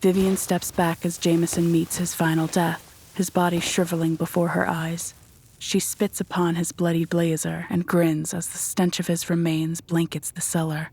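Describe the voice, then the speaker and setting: Low, even-toned woman narrating horror. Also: moderate pace, slight background noise, low-quality recording. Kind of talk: dramatic scripted narration of a horror audio drama.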